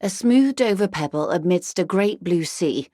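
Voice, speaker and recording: A woman's voice: lowish voice, animated, moderate pace, mellow, no echo, studio quality. An actress playing a genteel, worldly older woman in a video game, wry and composed, with articulate, politely condescending phrasing. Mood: wistful, worldly. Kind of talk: video game character dialogue, measured and literary.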